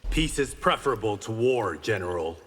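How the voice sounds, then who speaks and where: A man's voice: fairly low pitch, sing-song, normal speed, a bit bright, breathy edge, in a large hall, heavily acted. An actor playing a stoic blind swordsman hero in a video game, with dry, confident wit. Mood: dry confidence.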